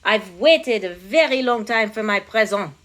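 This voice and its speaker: A woman voicing an excitable, impatient king from a storybook, emphatic and dramatic, with an attempted French accent. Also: medium pitch, measured pace. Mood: longing.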